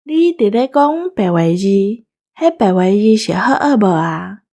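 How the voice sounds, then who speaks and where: A woman's voice: mid-range voice, big pitch swings, unhurried, dark tone. A woman with a Taiwanese accent co-hosting a show in casual, bantering conversation. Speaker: co-host. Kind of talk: casual bantering podcast chat.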